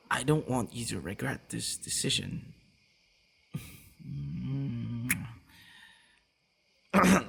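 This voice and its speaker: A man playing a possessive, teasing, devoted vampire boyfriend in an intimate ASMR roleplay, speaking in a hushed, breathy way with hesitant pauses. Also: mid-range voice, exaggerated voice.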